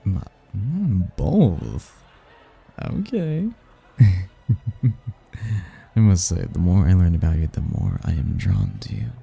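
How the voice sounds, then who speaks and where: Casting: male actor as suave demon seducer for ASMR roleplay, very low gravelly voice, courtly and flirtatious, prideful. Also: sing-song, slow pace, long pauses, mellow, exaggerated voice. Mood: intrigued, flirtatious. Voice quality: husky, gravelly. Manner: smooth-talking, courtly, flirtatious. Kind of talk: ASMR roleplay, one-sided romantic conversation. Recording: music behind the voice.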